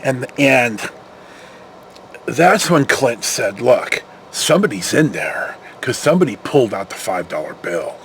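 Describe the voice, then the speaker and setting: A man's voice: medium pitch, sing-song, measured pace, creaky, a little hiss or hum. An older American man telling casual, rambling anecdotes, acting out the dialogue and using salty language. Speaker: storyteller, former security patrol officer.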